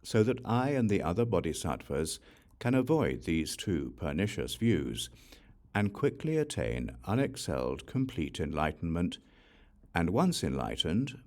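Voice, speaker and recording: Male audiobook narrator for sacred text: deep register, highly varied intonation, deliberate enunciation. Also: a little mellow. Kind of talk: scripted reading of Buddhist scripture.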